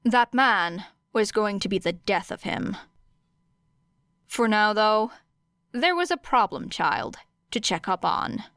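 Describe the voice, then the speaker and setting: A woman narrating fiction as an audiobook, with expressive storytelling. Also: mid-range voice, slow pace, pauses often, no room sound, studio quality.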